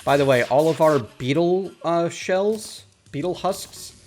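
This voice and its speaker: A man's voice: on the high side, measured pace, a little mellow. Upbeat man hosting a game session. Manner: upbeat, explains game items, laughs easily. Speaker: host. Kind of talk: casual multiplayer gaming chat.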